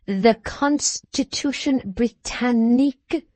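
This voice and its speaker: A woman voicing a pompous, condescending middle-aged Englishman who talks slowly and over-explains, as to a deaf child. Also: a little high, warm tone, no room sound, voice pushed up.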